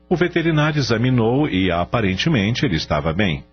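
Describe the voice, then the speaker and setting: A man's voice: mid-range voice, very animated, a little fast. A man narrating a scripted radio drama. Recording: dull lo-fi radio drama with music.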